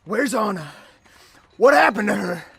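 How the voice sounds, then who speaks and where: A man acting an earnest, protective warrior with a husky voice in a fantasy audio drama. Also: sits high, expressive, a little slow, fairly bright tone, slight background noise, exaggerated voice. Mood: frantic.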